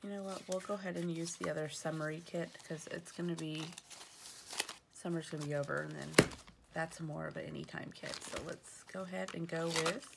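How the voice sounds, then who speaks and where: A woman's voice: low-pitched, fairly even intonation, a little fast, gravelly, heavy background noise. A woman with a sniffly voice from allergies, chatting through her planner stickers with fillers and self-corrections. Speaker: planner vlogger. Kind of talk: casual show-and-tell narration. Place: at home at a table.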